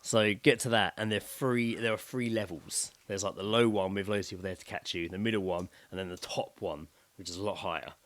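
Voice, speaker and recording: Bright-voiced man swapping stories. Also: lowish voice, brisk, hardly any pauses. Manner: sweary, excitable, blokey storytelling. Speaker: podcast co-host. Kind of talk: casual chat, animated storytelling.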